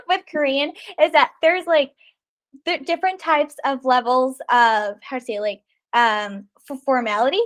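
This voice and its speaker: A woman's voice: high voice, measured pace. A young woman hosting a casual interview, hesitant with many ums and small giggles. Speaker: host.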